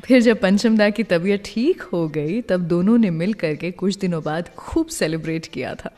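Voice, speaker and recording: A woman's voice: on the low side, normal speed, mellow. Woman radio host in long reverb. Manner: conversational storytelling, addresses listeners directly. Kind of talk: music radio presenting with film trivia. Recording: long reverb, music underneath. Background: music bed.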